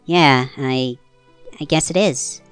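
A woman's voice: rumbling low, slow, clear, resonant tone. A woman voicing a frank, exasperated fairy companion in a comic fan dub. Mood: sincere. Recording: background music.

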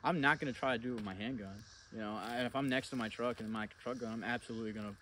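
Young male instructor, mid-pitched, informal and blunt, recorded outdoors on camera with faint noise. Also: rapid-fire, sharp tone. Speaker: firearms instructor. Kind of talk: casual instructional talk to camera. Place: outdoors in a wooded clearing at a flat range.

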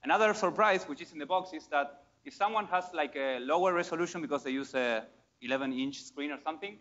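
A man presents a live demo at a meetup, casual and excited, often restarting his phrases. His voice sits a bit high. The recording sounds muffled and lo-fi. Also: fast talker. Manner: casual, enthusiastic, many fillers and restarts. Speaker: conference speaker. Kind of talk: live tech demo talk.

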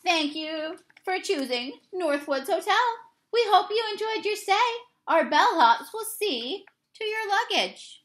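A woman's voice: very high voice, lively intonation, slow, fairly bright tone, slight background noise, pitched above the performer's own voice, full character voice. A woman voicing a small, officious hotel mouse in a children's storybook, eager and chirpy.

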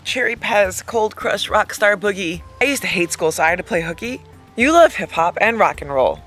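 A woman in her thirties giving a dramatic, mock-emotional reading of song lyrics, with halting, deliberate pauses, recorded outdoors on a handheld camera. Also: medium pitch, lively intonation, a bit bright, faint music behind. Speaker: comedic video host. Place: lying outdoors in a hammock. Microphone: camera held at arm's length.

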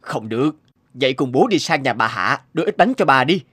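A man's voice: mid-range voice, expressive, fairly quick, a bit bright, slightly rough, no room sound, studio quality. A man voicing a proud, demanding father in a cartoon, with an emphatic manner. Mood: insistent. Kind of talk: cartoon voice acting.

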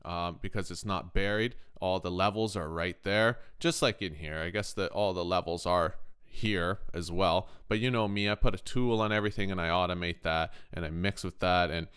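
A man's voice: low-pitched, normal speed, hardly any pauses, fairly bright tone, smooth. A man hosting an informal music production tutorial, conversational and thinking aloud as he explains, often checking in with the listener. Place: indoors, voice-over on a screen recording.

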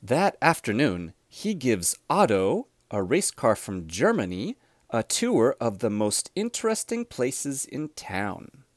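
Guy reading a kids picture book out loud, real slow and expressive, super animated pitch, dry room. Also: dry recording. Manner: deliberate, expressive phrasing for young children. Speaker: storybook reader. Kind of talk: scripted children's storybook read-aloud.

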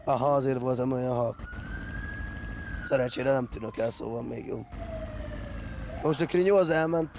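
A man's voice: medium pitch, pauses often. A man chatting casually and crudely with another player in a game voice chat. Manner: crude, swearing. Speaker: gamer, fellow player. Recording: noisy game audio with car sounds. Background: car engines, game sounds.

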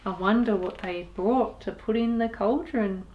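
A woman in her thirties reading a picture book aloud to young children, expressive and deliberate, as a storytime host. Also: mid-range voice, highs cut off. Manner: expressive, deliberate, with sound effects and asides to listeners.

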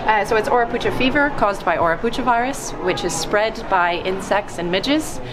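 A woman's voice: mid-range voice, animated, normal speed, music in the background, poor audio. A young woman earnestly explaining her research poster. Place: conference poster hall. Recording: loud background noise.

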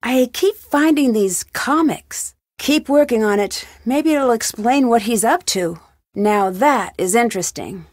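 A woman's voice: on the high side, lively intonation, a little slow, no echo, studio quality. A woman playing an inquisitive, level-headed young female detective in a video game, with an earnest, probing way of asking questions. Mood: warm, determined. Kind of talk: video game voice acting, isolated dialogue lines.

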